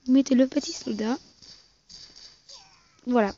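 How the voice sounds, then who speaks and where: A gaming video host giving casual, halting commentary on a mobile game, often repeating and correcting phrases, on a muffled amateur recording. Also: high voice, mellow.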